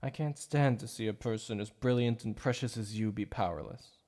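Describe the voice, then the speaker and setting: A man voicing a shy, obsessive male supervillain in an ASMR roleplay, soft-spoken and stammering over his slips of the tongue. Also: fairly low pitch, moderate pace, lightly acted. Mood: softly coaxing.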